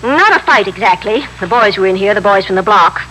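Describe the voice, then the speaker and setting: An actress in a 1950s radio drama playing a talkative, excitable middle-aged widow who runs a candy store, repeating herself in simple, slightly broken grammar. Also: female voice, medium pitch, fairly quick. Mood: animated, insistent. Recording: noisy vintage radio broadcast recording.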